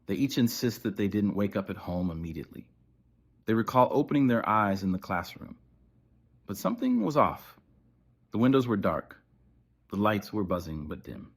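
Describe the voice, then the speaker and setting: A man narrating scripted horror as an official emergency broadcast, in a formal, measured, ominous manner. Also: lowish voice, breathy edge.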